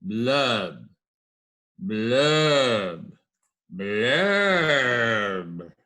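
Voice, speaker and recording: A man's voice: mid-range voice, very animated, very slow, bright, forceful tone, heavily acted. A man voicing a gloomy, pouting fish from a picture book, in a mournful, resigned sing-song. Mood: glum. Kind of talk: read-aloud picture book.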